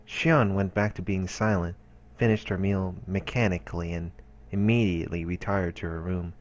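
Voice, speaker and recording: A man's voice: low-pitched, steady tone, normal speed, dull audio. A man reading visual novel narration aloud for a let's play.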